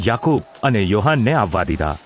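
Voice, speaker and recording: A man's voice: fairly low pitch, very animated, fairly quick, a little hiss or hum. A man narrating a gospel audio drama in a formal, solemn storytelling style.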